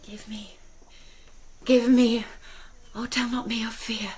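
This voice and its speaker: Young noble girl, British, fairly high voice, slow delivery, passionate, intense Shakespearean radio drama. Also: flat delivery, a little mellow, slightly rough, heavily acted. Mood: fervent. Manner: intense, dramatic, sometimes breaking into whispers. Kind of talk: classical Shakespearean radio acting.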